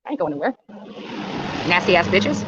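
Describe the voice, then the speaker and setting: A woman's voice: fairly low pitch, very animated, moderate pace, lo-fi sound, noisy background. A woman livestreaming angry commentary in a blunt, indignant way, cursing and repeating phrases for emphasis. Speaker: livestreamer at a street protest. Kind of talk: angry live commentary and casual chat.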